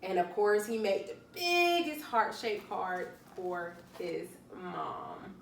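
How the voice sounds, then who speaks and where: A woman narrates for young children like a teacher at story time, drawing out key words. Her pitch is very lively, and she sounds a bit away from the mic, with faint background noise. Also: reverberant, distant mic. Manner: deliberate, emphatic, drawn-out key words. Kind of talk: expressive read-aloud narration for young children.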